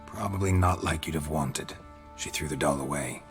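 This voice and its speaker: An actor playing a gruff, stoic monster hunter in a fantasy game, terse and dryly deadpan. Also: male voice, very deep voice, moderate pace. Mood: calm. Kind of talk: video game voice acting. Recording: loud music under the voice.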